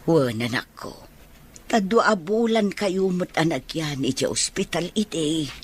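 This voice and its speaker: A woman playing a worried, fussing older mother and grandmother with a husky voice in a radio drama. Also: low-pitched, animated, a little slow, slight background noise. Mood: concerned.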